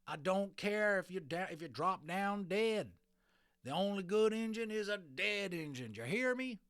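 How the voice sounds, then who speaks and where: High, belligerent little cowboy. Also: male voice, animated, a little slow, dry recording, studio quality. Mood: sarcastic, belligerent. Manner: drawling, shouting, folksy. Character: tiny sarcastic, bigoted, belligerent cowboy.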